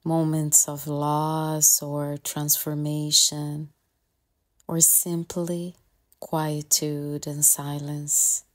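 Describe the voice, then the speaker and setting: Female meditation guide: low, very flat pitch, calm and gentle, soothing instruction in short phrases with long pauses.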